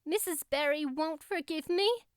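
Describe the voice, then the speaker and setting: A woman's voice: sits high, a little slow, no room sound, clean studio sound, pitched above the performer's own voice. A woman voicing an earnest, melodramatic young girl in an audiobook, effusive and plaintive in manner. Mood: sorrowful. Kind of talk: audiobook character acting.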